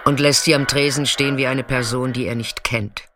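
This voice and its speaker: A man performing scripted literary narration in a deliberate, dramatic way, sometimes dropping to a hushed near-whisper. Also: mid-range voice, steady tone, background noise.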